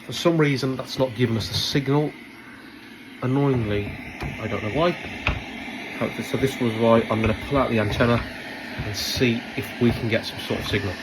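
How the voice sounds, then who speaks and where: A man giving a hands-on spoken product review in a hesitant, repetitive, measured but opinionated way. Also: medium pitch. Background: background noise and a radio.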